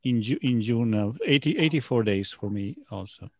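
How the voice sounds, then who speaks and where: A man taking part in a casual class chat, speaking hesitantly and haltingly. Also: mid-range voice. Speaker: class participant.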